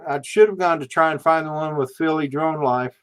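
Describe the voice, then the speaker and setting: A man's voice: a little high, fairly quick, smooth. A man in his sixties hosting a casual group chat, speaking in an easygoing conversational style. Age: 60s. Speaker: host of a group livestream.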